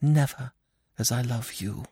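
A man's voice: mid-range voice, a little slow, warm tone, breathy edge, no echo, pitched above the performer's own voice. A man voicing a passionate, distraught young woman with a pleading manner in an audiobook. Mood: tender, whispering. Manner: anguished, pleading. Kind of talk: audiobook character dialogue.

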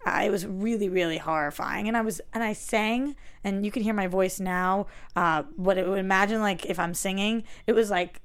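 A woman with a raspy voice, chatting casually as a podcast guest and poking fun at herself. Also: medium pitch, moderate pace, hardly any pauses. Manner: self-mocking, chatty. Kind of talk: casual chat.

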